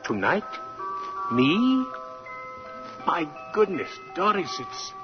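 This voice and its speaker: Kindly old Kris Kringle. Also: male voice, high-pitched, big pitch swings, extremely slow, over music, exaggerated voice. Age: older. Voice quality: gentle, grandfatherly. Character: kindly old man Kris Kringle, gentle and warm-hearted. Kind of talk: 1940s radio acting. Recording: dull lo-fi 1940s radio broadcast.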